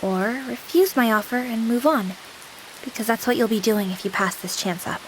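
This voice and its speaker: A woman's voice: a little high, normal speed, slight background noise, natural voice, poor audio. A woman playing a young female AI companion with an anime-girl persona in a visual novel game, devoted and formal but playful. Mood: firm. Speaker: game character.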